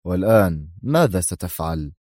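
Treacherous air force commander, played by a male reader: self-assured, taunting, mellow-toned audiobook villain. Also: low voice, big pitch swings, normal speed, dry recording, studio quality. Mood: defiant. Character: confident, defiant air force commander turned traitor.

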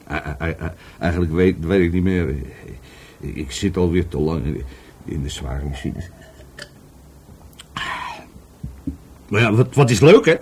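A middle-aged businessman in a radio drama, evasive and volatile under police questioning. His low, rough voice swings widely in pitch as he stammers, rambles and repeats himself. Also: a little mellow, heavily acted. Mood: resigned. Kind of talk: Dutch radio play acting.

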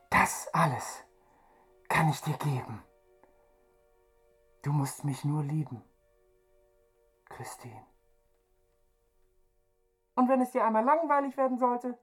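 Deranged phantom, swooping theatrical high voice. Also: male voice, heavily acted. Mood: wooing, grandiose. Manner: theatrical, wildly swinging from whispers to high calls. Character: deranged masked phantom, theatrical, menacing, lovesick male ventriloquist. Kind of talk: radio drama acting.

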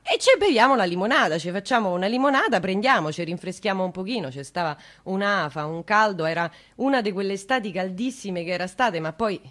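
A woman's voice: mid-range voice, expressive, fast talker, hardly any pauses, a bit bright, close to an everyday voice. An actress playing a flustered, chatty woman witness in a radio drama, rambling and interrupting herself defensively. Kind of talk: naturalistic radio drama monologue.

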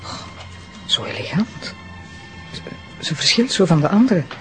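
An actress playing an earnest, assertive deputy prosecutor in a radio drama, polite and probing, with a Flemish accent. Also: female voice, medium pitch, animated, moderate pace, soft background music, natural voice.

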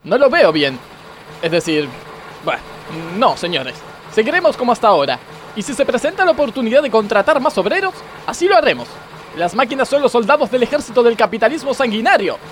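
A man's voice: extremely high pitch, sing-song, brisk, fairly bright tone, soft background music, full character voice. An Argentine man playing an indignant, principled communist factory foreman in a comedic radio play, declamatory and easily flustered. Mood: stern, pompous. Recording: faint background noise.

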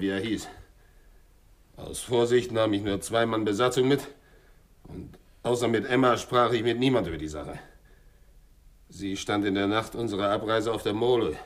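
An actor playing a bitter, vengeful French sailor and former convict in a 1960s German radio crime drama, telling his story haltingly and with feeling. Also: on the low side, close to an everyday voice. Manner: halting, stammering, emotional storytelling.

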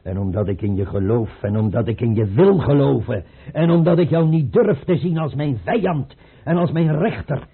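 An actor playing a tormented, suspicious, hard-drinking tsar in an old radio drama, theatrical and given to outbursts. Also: male voice, mid-range voice, moderate pace, husky. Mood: brooding, emotional. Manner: theatrical, brooding, swinging into outbursts. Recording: muffled, narrow-band old radio recording.

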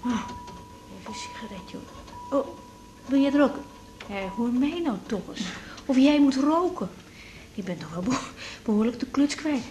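A woman's voice: sits high, lively intonation, normal speed, warm tone, soft background music. An actress playing a young woman doctor in a radio drama, firm, practical and reassuring.

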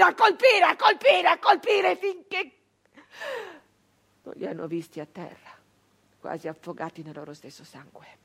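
A high-pitched female voice as a defiant peasant brigand woman of the 1800s. She tells her story as an anguished stage monologue, insisting on words and repeating them, with dramatic pauses. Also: a bit bright. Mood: anguished, horrified, then desolate. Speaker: stage actress performing a monologue.

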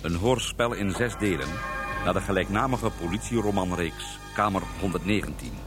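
A man's voice: sits low, moderate pace. A man announcing a radio drama in a scripted introduction. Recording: music under the voice. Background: music.

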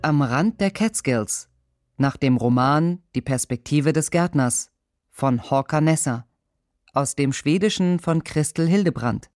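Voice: mid-range voice, expressive, a little slow, darkish tone. An announcer reading scripted credits for a radio drama.

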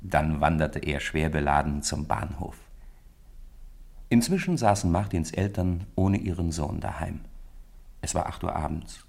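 Deep gravelly male radio narrator. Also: darkish tone. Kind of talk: storybook narration in a radio play. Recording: 1950s radio studio recording.

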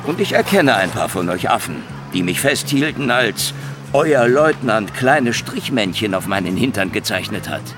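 A man's voice: fairly low pitch, sing-song, moderate pace, music in the background. A man playing a cunning, hard-drinking old private detective in a hard-boiled radio drama, taunting and wordy in his wisecracks. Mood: taunting, tough. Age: older.

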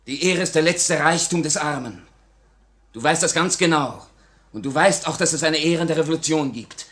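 An actor playing an anguished, idealistic young Russian revolutionary, earnest and theatrical, in a 1950 radio play. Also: male voice, on the high side, moderate pace, a bit bright, close to an everyday voice. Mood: proud, resolute. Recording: vintage 1950 radio recording.

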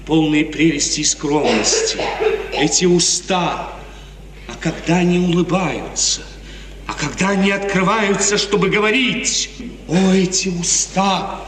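An actor playing an ardent, imperious young prince in theatrical 1950s Soviet radio drama style, given to rapturous, emphatic speech. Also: male voice, sits high, measured pace, crowd noise. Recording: roomy, distant 1950s radio recording.

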